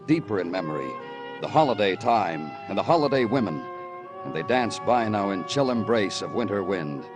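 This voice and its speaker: An actor playing a world-weary police detective in old radio noir, speaking in brooding, poetic, clipped phrases. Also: male voice, on the low side. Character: world-weary male police detective narrating his own case. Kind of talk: 1950s radio noir narration.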